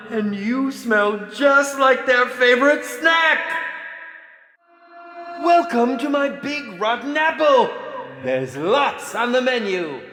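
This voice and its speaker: Flamboyant villainous film director, wildly swooping. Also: male voice, extremely high pitch, full character voice. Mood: taunting, gleeful. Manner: flamboyant, drawn-out, swinging from hushed to shrieking. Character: theatrical, menacing, sadistic villainous male horror film director. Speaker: video game villain. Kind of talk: theatrical game villain monologue and taunts. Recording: echoey reverberant hall with background noise.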